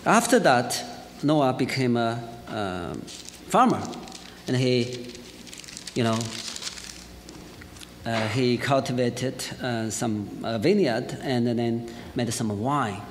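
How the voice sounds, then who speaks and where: A middle-aged man with a Chinese accent preaches in a large church hall, his voice ringing with a long reverb over faint hiss. He sits at an ordinary pitch, tells his story in a lively way and fills his pauses with "uh". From China. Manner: lively storytelling, laughs often, frequent fillers. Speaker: pastor. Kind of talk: sermon with personal testimony.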